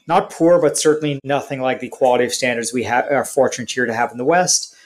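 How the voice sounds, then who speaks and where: A man's voice: medium pitch, fast. Guy raised in india and canada, hindi first language, talks in tidy numbered points, mid voice. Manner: articulate, structured, lists points. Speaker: guest, software company CEO. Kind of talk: conversational interview answers.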